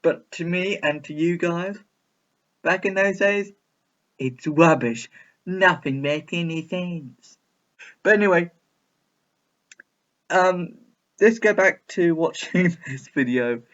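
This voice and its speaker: A man's voice: high-pitched, takes it slowly, long pauses, a bit bright, lo-fi sound. A man giving casual, rambling video commentary in a chatty, repetitive, mock-baffled way. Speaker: reaction video host.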